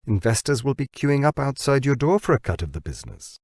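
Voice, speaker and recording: A man voicing a shrewd biotech researcher in an audiobook, pitching insistently to his boss. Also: very animated, darkish tone, no echo, clean studio sound, natural voice. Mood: excited pitching. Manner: deliberate, insistent salesmanship. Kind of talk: audiobook character dialogue.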